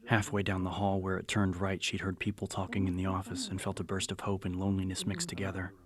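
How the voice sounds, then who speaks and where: A man narrating a scripted horror audiobook in a measured, serious storytelling manner. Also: low voice, monotone, a crowd in the background. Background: music.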